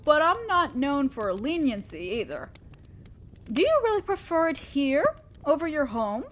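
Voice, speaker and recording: A woman's voice: high voice, a bit bright. A woman playing a fair-minded but ambitious demon lord boss in a scripted audio drama, speaking formally and directly to an underling, with a sly teasing streak. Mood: relieved, surprised.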